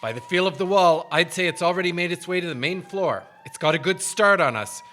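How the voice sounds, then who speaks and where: A man's voice: high voice, lively intonation, brisk, bright, forceful tone, slight background noise. An actor playing an urgent, plain-spoken volunteer fireman in a radio drama, exclamatory in manner.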